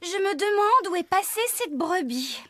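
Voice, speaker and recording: A woman in a French children's cartoon dub playing a brisk, caring woman farmer who expressively directs others. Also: extremely high pitch, expressive, dry recording. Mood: puzzled, exasperated.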